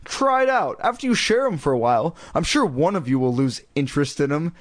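A man's voice: fairly high pitch, very animated, a little fast, dry recording. Man as an exasperated, grumpy dad. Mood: grumbling. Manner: exasperated, insistent. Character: exasperated, grumpy father ordering his daughters about.

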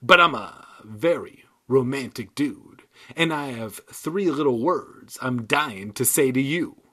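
A man voicing a rude ventriloquist dummy, male and British-sounding, with a shrill, harsh rasp. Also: animated, slow, pushed and bright, exaggerated voice. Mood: mocking.

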